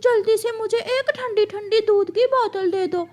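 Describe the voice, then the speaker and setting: A woman's voice: very high-pitched, measured pace, a little mellow, pitched above the performer's own voice. A woman playing an overheated, pleading male parrot in a children's cartoon. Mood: urgent, whining.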